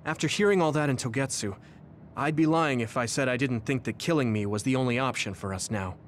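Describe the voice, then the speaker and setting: A man playing a determined, burdened young soldier in a video game, speaking in an earnest, measured way. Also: mid-range voice, a little hiss or hum, natural voice. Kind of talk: video game cutscene acting. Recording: dramatic music behind the voice.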